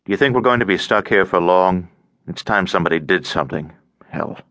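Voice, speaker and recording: A man's voice: low-pitched, brisk, a bit bright, no echo, studio quality. A man as a gloomy, curt, irritable lodger. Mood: cold, impatient. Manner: terse, muttering, curt. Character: gloomy, irritable, cold male Russian lodger. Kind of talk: audiobook character acting.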